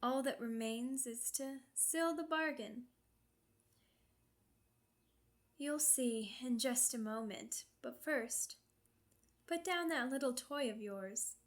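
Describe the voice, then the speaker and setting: Girl doing a vampire lady for asmr roleplay, slowww and deliberate, kinda high voice, bossy n possessive, teasing sweet but cruel. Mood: cold, commanding.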